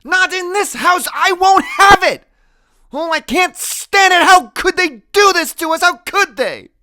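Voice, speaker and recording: A man voicing a fiercely protective, tearful older grandmother in an audiobook, loud, shrill and emphatic. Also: animated, unhurried, full character voice. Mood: outraged, tearful.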